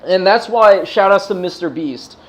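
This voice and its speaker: An American man with a slightly high-pitched voice hosts a casual livestream. He talks in a loose, laid-back stoner way, full of slang. Swearing comes freely as he chats. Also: expressive, normal speed, lo-fi sound. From United States. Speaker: livestream host.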